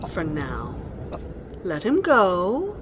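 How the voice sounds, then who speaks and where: A woman's voice: on the low side, wildly swooping pitch, very slow, background noise, full character voice. A woman playing a commanding, scheming villainess in a fantasy audio drama, with imperious, theatrical delivery. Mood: commanding, cold. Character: scheming, commanding villainess plotting to seize power.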